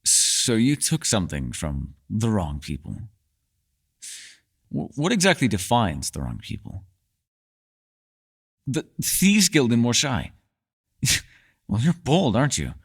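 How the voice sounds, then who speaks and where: A man's voice: lowish voice, wildly swooping pitch, slow pace, pauses often, husky, heavily acted. A man playing a mysterious, erudite elf in a fantasy ASMR roleplay, speaking in a playful, teasing, slightly formal way. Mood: intrigued, teasing.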